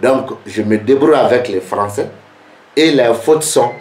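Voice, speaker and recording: A man teaching geomancy in French, which is not his first language, speaking slowly and repeating rhetorical questions. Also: medium pitch, darkish tone, echoey room, a little hiss or hum. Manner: slow, repetitive, rhetorical questions. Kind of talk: spoken lesson to camera.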